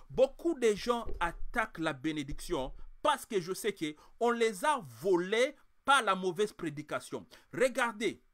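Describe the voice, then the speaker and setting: A male preacher giving a passionate sermon in French, though he now speaks English more. He swings from quiet talk to loud, forceful preaching. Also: high voice, no echo. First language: French, now mainly English-speaking. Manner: impassioned, swings from quiet to shouting.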